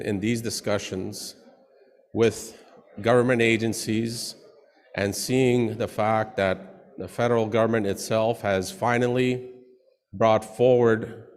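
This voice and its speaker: A man who is a chief, giving a formal presentation to an assembly in a loud voice with many pauses. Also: on the low side. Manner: loud, pausing often. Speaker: chief and languages portfolio holder. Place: large assembly hall. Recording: long hall reverb.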